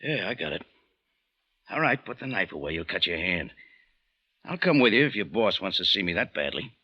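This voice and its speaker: A man's voice: low voice, sing-song, fairly quick, husky, exaggerated voice. A man with an American accent playing a wry, hard-boiled cafe owner who narrates an old-time radio detective drama. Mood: tough. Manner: sardonic, tough, wisecracking. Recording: muffled old radio recording, music behind.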